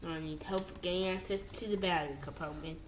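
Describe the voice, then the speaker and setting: Someone presenting a casual unboxing vlog in short, halting phrases. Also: medium pitch, steady tone. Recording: noisy home video with clicks and handling.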